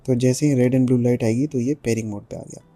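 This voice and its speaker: Dark-voiced Indian man reviewing gear. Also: mid-range voice, fairly even intonation, fast talker, faint music behind. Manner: explanatory, step by step, switching between Hindi and English. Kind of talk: casual product review to viewers.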